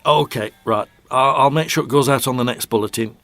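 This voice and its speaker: A man's voice: mid-range voice, a little fast, a bit bright, soft background music, natural voice. A man acting in an audio drama as an irritable, overworked local radio travel presenter. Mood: businesslike. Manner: colloquial British speech, easily riled.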